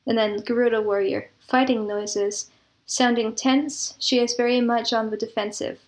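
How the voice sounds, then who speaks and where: A woman's voice: on the high side, steady tone, moderate pace. A woman speaking conversationally as an interview guest, explaining her creative work in earnest detail. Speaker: interview guest, audio drama producer and composer.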